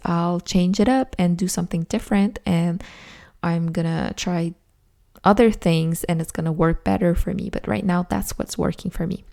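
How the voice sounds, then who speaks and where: Solo podcast host, young woman, French Canadian accent, gravelly and mellow, chatty and sincere. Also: fairly low pitch. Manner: chatty, earnest, many "like" fillers. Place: home office.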